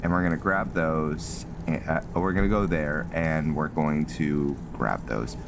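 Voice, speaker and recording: Male gaming host, very deep and leisurely, casual halting commentary, audible game sounds in the background. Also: background noise. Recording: clear game ambience behind voice.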